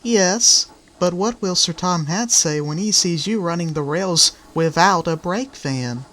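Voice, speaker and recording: A man voicing a kindly, protective female tank engine in a children's railway story, with a gentle, teasing manner. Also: sits high, unhurried.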